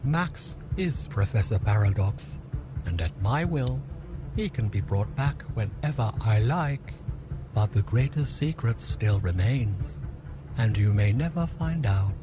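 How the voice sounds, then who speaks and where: An actor playing a bitter, gloating outcast villain in an audio drama, theatrical, taunting and grandiose. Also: male voice, lowish voice, expressive, unhurried, over music. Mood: gloating, menacing. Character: bitter, gloating male Clockmaker villain, outcast celestial schemer.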